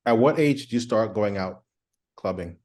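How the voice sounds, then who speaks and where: A man interviewing a guest, asking short questions and giving relaxed, agreeable replies. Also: fairly low pitch, moderate pace.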